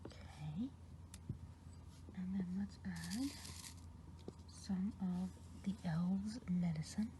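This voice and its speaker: A woman's voice: lowish voice, noisy background. Woman playing a whispering good forest witch. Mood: gentle whisper. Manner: soft unvoiced whisper, slow and meandering. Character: kind gentle good witch of the woods, woman. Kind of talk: ASMR roleplay.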